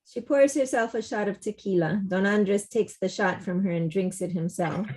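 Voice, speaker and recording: A woman narrates a play's stage directions at an online table read. Her voice is mellow and dark, set at a middling pitch, plainly scripted. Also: normal speed. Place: indoors, on a video call.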